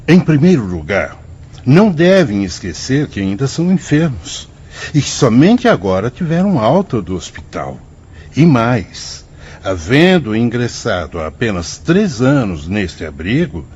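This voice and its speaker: A Brazilian man playing a wise, patient priest mentor in a radio drama, speaking in a measured, paternal way. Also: medium pitch, darkish tone, breathy edge. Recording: dull, slightly noisy studio drama audio.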